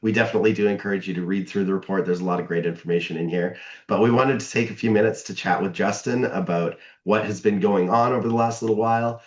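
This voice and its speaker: A man with a hoarse, scratchy voice, slightly low-pitched, leading a business interview. He talks haltingly with many fillers, in an echoey room. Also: muffled recording. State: hoarse from a cold. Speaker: firm president hosting a video call.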